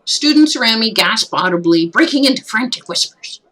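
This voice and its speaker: A woman with a slightly hoarse, husky voice reading a visual novel aloud, expressive with casual asides. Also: on the high side, fairly quick, low-quality recording. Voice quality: husky, throat not at its best.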